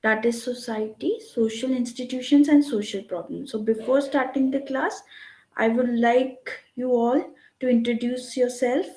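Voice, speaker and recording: A woman's voice: a little high, moderate pace, darkish tone. Woman lecturer in an echoey room. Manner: explanatory, repeats "right?" to check in. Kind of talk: online class lecture. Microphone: computer mic on a video call.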